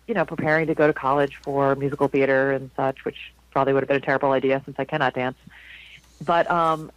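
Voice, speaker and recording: A man in his forties with a slightly husky voice, pitched a bit higher than most men, tells meandering stories as a guest in a casual interview. He restarts his sentences often. The sound is dry and close, with no room around it. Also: dry recording, low-quality recording. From South Florida, USA. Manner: rambling, warm anecdotes with frequent restarts and laughs. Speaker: jazz singer, guest.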